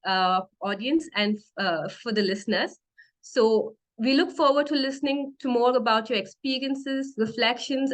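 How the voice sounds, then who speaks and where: A woman moderating a virtual panel session, speaking in a measured way with frequent fillers over a video call. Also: a little high, a bit bright. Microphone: computer mic on video call.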